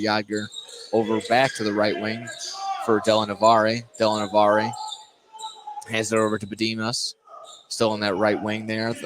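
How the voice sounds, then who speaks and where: Man calling live basketball over gym noise. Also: lowish voice. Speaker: play-by-play radio sports commentator. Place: indoor gymnasium during a basketball game. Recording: noisy live gym broadcast. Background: loud gym ambience, bouncing basketball.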